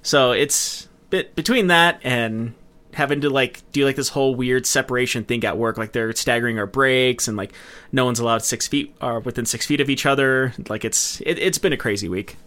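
A man's voice: medium pitch, moderate pace, bright, forceful tone. A man co-hosting a podcast, chatting casually in a rambling, conversational way. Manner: rambling, conversational, frequent use of "like". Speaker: podcast co-host. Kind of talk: casual chat.

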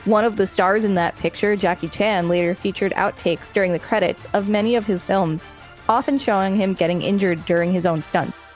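A woman's voice: medium pitch, brisk, faint music behind. A woman co-hosting a podcast, reading an article aloud and chatting casually. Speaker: podcast co-host.

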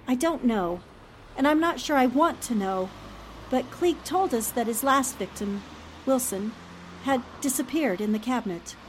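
Woman acting an earnest, high-voiced newspaperwoman. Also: lively intonation, unhurried, slight background noise, low-quality recording. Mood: troubled, pondering. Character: earnest, analytical newspaperwoman, shaken but determined. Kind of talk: old-time radio drama acting.

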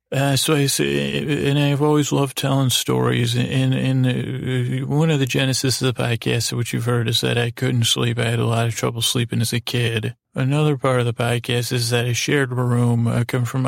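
A man hosting a bedtime podcast in a meandering, halting monologue, repeating himself and pausing as he goes. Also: medium pitch, creaky, no echo, studio quality. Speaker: podcast host. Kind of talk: rambling bedtime podcast monologue, meant to lull.

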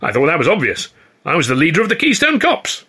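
A blustering, self-important comic police chief acted out by a male narrator in an audiobook. He declaims loudly and stubbornly, pitched well above the reader's own voice, with a bright, forceful edge. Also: huge pitch swings, fast, breathy edge, heavily acted. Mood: indignant, defensive. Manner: loud, pompous, declamatory.

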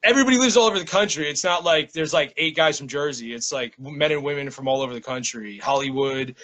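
Male, higher-pitched and bright, casual interview guest with a loose, meandering delivery. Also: fast talker. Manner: rambling, self-interrupting, frequent "like". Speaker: interview guest, poet and small-press publisher.

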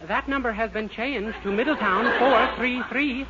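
A high, youthful voice plays an earnest, anxious young bluffer. This is 1940s radio comedy acting on a dull recording thick with noise. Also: male voice, moderate pace. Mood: polite, stalling.

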